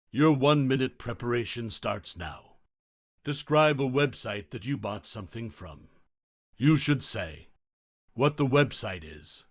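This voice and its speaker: A man's voice: medium pitch, no echo, studio quality. A man playing an examiner, asking test questions in a formal, instructional way. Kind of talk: scripted mock exam questions.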